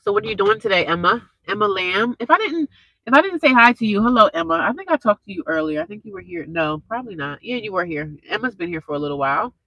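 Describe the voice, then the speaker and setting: Congested young woman, rambling live-stream chat, cheap earphone mic, gravelly edge, dry close sound. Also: medium pitch, very animated, quick pace, pushed and bright, no room sound, highs cut off. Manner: rambling, chatty, talks straight to viewers.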